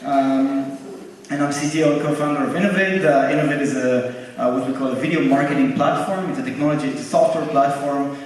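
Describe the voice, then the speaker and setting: A man with an Israeli accent speaking on a conference panel, halting often and joking about himself. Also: medium pitch. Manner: halting with many "uh"s, self-deprecating. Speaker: panelist, tech co-founder. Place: large conference hall. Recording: echoey hall from far off, faint noise.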